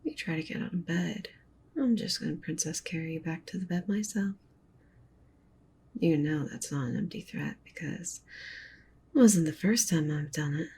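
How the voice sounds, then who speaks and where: A dominant, doting wolf witch girlfriend played by a woman murmurs possessively in an intimate ASMR roleplay. Her voice is fairly low and breathy, slipping into whispers with a crackly fry. She coaxes with pet names and soft commands over faint background noise. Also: moderate pace, long pauses. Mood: coaxing, firm.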